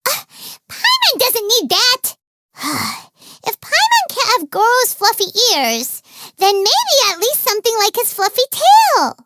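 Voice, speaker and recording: A tiny game fairy with an extremely high, bright, squeaky childlike voice. The delivery swoops wildly, exclamatory and melodramatic, as a cheeky little companion who is quick to take offence. There is faint noise behind the voice. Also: female voice, huge pitch swings, heavily acted. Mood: disappointed. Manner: speaks of self in third person, exclamatory, melodramatic. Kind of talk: animated video game voice acting.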